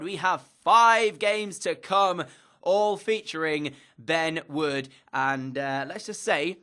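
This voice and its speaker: A man's voice: on the high side, bright, forceful tone. A young man from England with a slightly stuffy nose from a cold, recapping football matches in an upbeat commentator style with frequent fillers. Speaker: gaming YouTuber.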